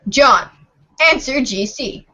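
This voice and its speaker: Casting: woman for amateur theater, bright and high, very drawn-out delivery, lo-fi sound. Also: animated, breathy edge. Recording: dull, muffled lo-fi.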